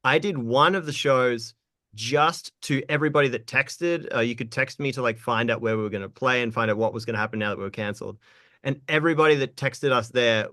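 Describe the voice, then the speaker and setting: A man's voice: mid-range voice, dry recording, studio quality. A young man, a musician, casually rambling through stories in an interview with lots of filler words. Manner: relaxed, rambling, lots of likes. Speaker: guest musician.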